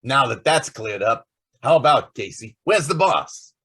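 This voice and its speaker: A man's voice: mid-range voice, big pitch swings, measured pace, sharp tone, slightly rough, exaggerated voice. A man playing a keen, breezy, emphatic star reporter in an old-time radio drama. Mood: surprised.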